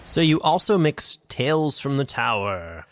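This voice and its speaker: A man with a medium-pitched voice hosts a casual podcast interview. He speaks conversationally, and the sound is very dry, with no room echo. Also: big pitch swings, unhurried. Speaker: interviewer and audio drama podcast creator.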